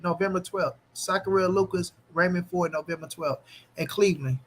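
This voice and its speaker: A man's voice: high voice, normal speed, a little hiss or hum. A man hosting a casual boxing chat, brash and profane, steering the panel along. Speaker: host.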